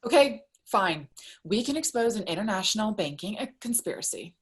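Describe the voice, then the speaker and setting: A woman's voice: medium pitch, sing-song, normal speed, close to an everyday voice. A woman playing a dry, sardonic friend in her twenties in a screenplay table read. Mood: grudging.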